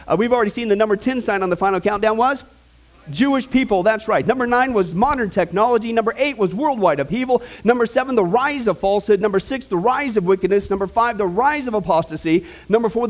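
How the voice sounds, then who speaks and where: High-voiced joking preacher man. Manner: folksy, joking asides to the congregation. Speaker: pastor. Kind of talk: sermon with a told joke. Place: church sanctuary.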